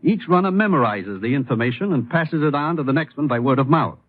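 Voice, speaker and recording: An actor playing an earnest, authoritative government commissioner in 1950s radio style. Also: male voice, mid-range voice, animated, fast talker, no echo, lightly acted. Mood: grave, urgent. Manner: grave, authoritative. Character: earnest, businesslike male government commissioner, the agent's boss. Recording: thin, phone-like old radio recording.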